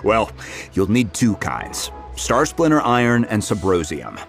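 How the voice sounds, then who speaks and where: A man's voice: lowish voice, huge pitch swings, unhurried, exaggerated voice. An actor playing a friendly, helpful craftsman who explains things patiently. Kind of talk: game voice acting. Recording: music underneath.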